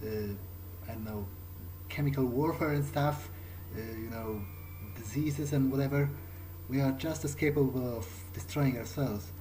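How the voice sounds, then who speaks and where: A man roleplaying in character as he plays a video game, thinking aloud in halting, musing phrases. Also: medium pitch, fairly even intonation, dark tone, a little hiss or hum, low-quality recording. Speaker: gameplay streamer playing in character. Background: game music.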